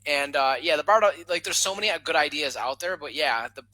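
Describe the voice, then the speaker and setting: A man's voice: a little high, brisk, no room sound. A man in his thirties on a video-call podcast panel, talking casually with many restarts and repeated words. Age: 30s. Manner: rambling with many restarts, animated. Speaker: podcast guest.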